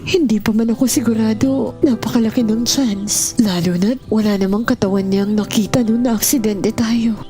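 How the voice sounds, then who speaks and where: A woman's voice: fairly high pitch, moderate pace, natural voice. A woman playing a tender, hopeful middle-aged mother with a Filipino accent, confiding earnestly in an audio drama. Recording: soft music behind the voice.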